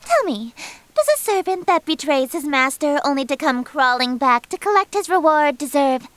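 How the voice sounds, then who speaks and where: A woman's voice: sits high, very animated, moderate pace, a bit bright, no echo. A woman voicing a haughty, theatrical female eldritch deity in an anime-style visual novel game. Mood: imperious, mocking. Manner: theatrical, domineering, grandiose.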